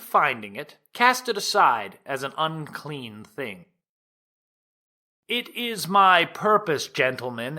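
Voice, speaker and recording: A man performs a grave, earnest prosecutor in an audio courtroom drama. The voice is fairly high and forceful, swinging widely in pitch through deliberate, formal oratory aimed at a jury. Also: heavily acted. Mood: emphatic, solemn.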